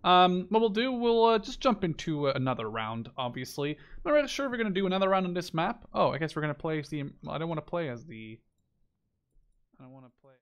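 Higher-pitched young man, gameplay commentary. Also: very animated, quick pace, a bit bright, clean tone. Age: 20s. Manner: rambling, self-correcting, swears casually. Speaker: gameplay video host. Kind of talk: casual live gameplay commentary. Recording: game gunfire and clicking behind voice. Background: video game gunshots, machine guns, clicks.

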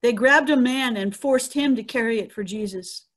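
A woman's voice: on the high side, moderate pace, close to an everyday voice. A woman playing an earnest female eyewitness to the crucifixion in a dramatic reading. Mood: solemn. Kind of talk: dramatic Easter scripture reading. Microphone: video call.

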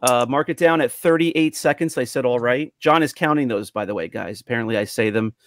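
A man's voice: medium pitch, brisk, slight background noise. A man hosting a casual group chat on a video call, with an upbeat announcer-like delivery. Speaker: podcast host. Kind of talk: lively casual group chat about toys. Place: home room on a video call.

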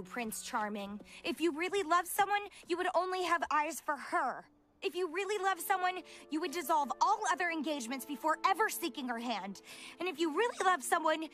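An actress playing a cynical, sharp-tongued young woman rogue in an animated film, speaking bluntly and sardonically. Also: very high voice. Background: faint music.

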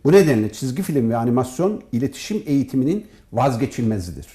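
A man giving formal, measured interview answers as an academic guest, as if from prepared notes. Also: mid-range voice, sing-song, dark tone. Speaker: professor and department head, interview guest.

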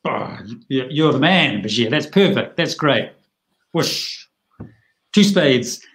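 A middle-aged man explains a card hand over a video call. He talks in a chatty, plain-spoken way, tossing in lively asides. His voice sits at an ordinary pitch. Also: takes it slowly. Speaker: bridge teacher.

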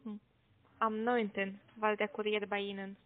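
A woman's voice: mid-range voice, flat delivery, moderate pace. A woman call center agent making a sales phone call in German as a non-native speaker. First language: not German. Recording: muffled narrow phone line.

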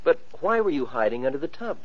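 A man's voice: medium pitch, big pitch swings, fast. A man playing a gallant young prince, courtly and commanding, in a 1940s radio play. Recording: muffled old radio broadcast.

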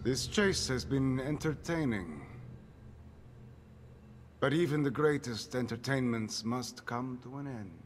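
A man's voice: mid-range voice, measured pace, long pauses, noisy background, lightly acted. An actor playing a vain, sadistic, refined artist villain in a video game, with a theatrical, pompous way of savoring his words. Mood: mocking praise. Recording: music behind the voice.

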